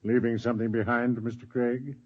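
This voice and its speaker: An actor playing a suspicious government interrogator in a radio drama, formal, probing and condescending. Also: male voice, mid-range voice, level tone, fairly quick, husky, close to an everyday voice. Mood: coolly needling. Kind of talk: 1950s radio drama acting. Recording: dull lo-fi vintage radio recording.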